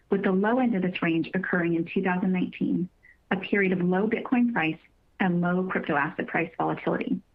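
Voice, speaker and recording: A woman reading prepared remarks as a company finance executive, in a formal, measured manner with careful wording. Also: fairly low pitch. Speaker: company finance executive presenting on an earnings call. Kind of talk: prepared corporate presentation, scripted. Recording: narrow tinny phone-line conference call.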